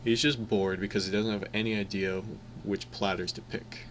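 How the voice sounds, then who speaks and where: A man's voice: lowish voice, flat delivery, normal speed, fairly bright tone, lightly acted. A man playing an earnest, pedantic young swing-record buff, dry and matter-of-fact, recorded with some background noise. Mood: dry, bickering.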